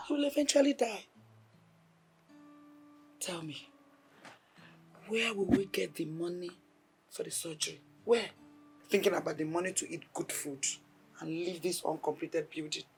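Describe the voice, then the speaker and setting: A woman's voice: lowish voice, moderate pace, long pauses, lightly acted. A woman acting a bitter, impatient middle-aged mother in a film drama, with a Nigerian accent, scolding and repeating herself for emphasis. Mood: resigned, bitter. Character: bitter, impatient mother, callous toward her sick husband. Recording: music playing behind the dialogue.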